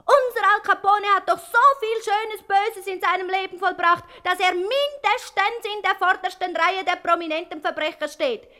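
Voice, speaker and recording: An extremely high, forceful girlish voice. The figure is a prim young fan club member in a satirical radio play, speaking with solemn fervour and ready indignation. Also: normal speed. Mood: indignant, fervent. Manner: solemn, speechifying, fervent.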